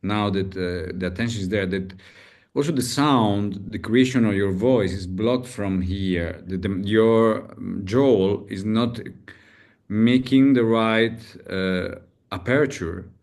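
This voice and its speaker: A low-voiced man hosts a coaching webinar. He talks in an unhurried, hesitant way, feeling for words with phrasing, speeding up and slowing down as he explains. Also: a little mellow. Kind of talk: casual webinar talk.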